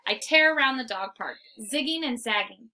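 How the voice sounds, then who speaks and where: A woman voicing an excitable, cocky little male dog in a storybook, with dramatic, eager exclamations. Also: high-pitched, normal speed, rough recording. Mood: eager, playful. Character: excitable small male dog, cocky squirrel-hating playful hero. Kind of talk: storybook read-aloud, first-person narration.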